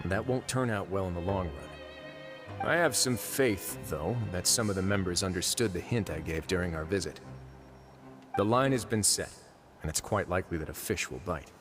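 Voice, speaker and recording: An actor playing a composed, fair-minded duke and prison warden in a video game, measured and dryly wry. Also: male voice, sits low, lively intonation. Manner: measured, deliberate, dryly playful. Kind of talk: video game character dialogue. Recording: game music behind the voice.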